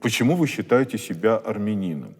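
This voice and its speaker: A man's voice: fairly low pitch, sing-song, a little mellow, breathy edge. Man asking questions in a reverberant church. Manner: halting, rephrasing mid-question. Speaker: journalist, interviewer. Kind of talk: interview questions. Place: large stone church.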